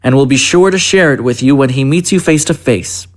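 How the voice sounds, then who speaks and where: A man acts out a senior political aide in an audio drama. He is courteous and secretive, speaking in formal, measured phrases at a medium pitch. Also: close to an everyday voice. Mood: courteous, guarded. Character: polite, secretive presidential chief of staff.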